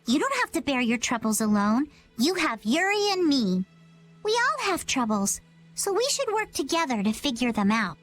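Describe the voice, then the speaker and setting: A woman's voice: high voice, big pitch swings, moderate pace, a bit bright. A woman voicing a chipper, quirky young pirate girl in an anime game dub, self-assured and speaking in old-fashioned slang. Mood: wise, encouraging. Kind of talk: English-dubbed anime game voice acting. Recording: music under the voice. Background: music.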